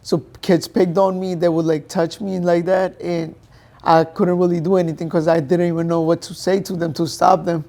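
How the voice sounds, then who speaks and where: Young Pakistan-born man, gravelly and mellow. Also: a little high, level tone, normal speed. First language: Urdu. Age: young adult. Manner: plain, unpolished, thinks aloud with fillers. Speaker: guest, professional boxer. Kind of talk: interview answers.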